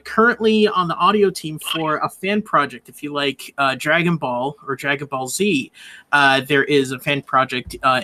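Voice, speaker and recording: A man's voice: mid-range voice, sharp tone. A man talking casually as a podcast guest, plugging his own projects.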